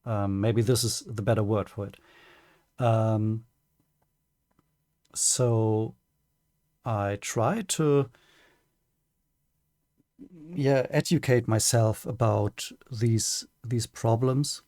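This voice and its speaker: A man with a German accent and German as his first language, giving a prepared personal statement as a streamer, careful and hesitant with many pauses and restarts. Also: lowish voice, fairly even intonation, a little mellow, no room sound, studio quality.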